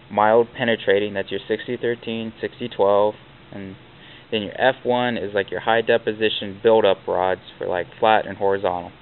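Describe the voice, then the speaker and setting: Man, low-ish voice, blunt workshop instructor, casual tutorial, tinny camera audio with light hiss. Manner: plainspoken, blunt, with fillers like "like". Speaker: welding instructor. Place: workshop, chalking on a steel plate.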